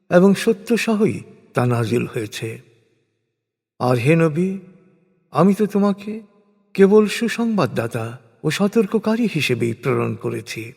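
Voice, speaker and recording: A man's voice, a little higher than most, rings with long hall reverb. He narrates translated scripture in a solemn, scripted style, very slowly. His phrasing is measured and deliberate, with clear pauses between phrases. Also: sing-song, darkish tone.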